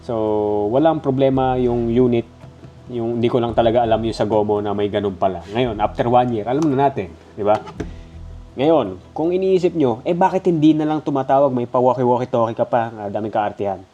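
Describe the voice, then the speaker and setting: A man with a Filipino accent talks through a product in a casual, conversational way. His voice is soft-edged and mellow at an average male pitch, and he sounds stuffed up in the nose. Faint music plays behind him. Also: fairly quick, highs cut off. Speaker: product reviewer. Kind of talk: casual, conversational gadget review.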